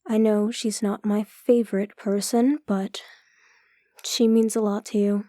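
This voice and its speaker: A woman's voice: medium pitch, flat delivery, a little slow, mellow, dry recording, clean studio sound, close to an everyday voice. A woman playing a guarded, protective police chief and weary, stubborn older sister in an audio drama. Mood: concerned, gentle.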